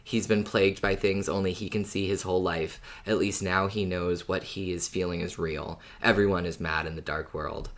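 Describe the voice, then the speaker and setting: A woman with a very low voice co-hosts a podcast about fiction. She chats casually and thoughtfully, agreeing and building on ideas as she goes. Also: steady tone, normal speed, few pauses. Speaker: podcast co-host.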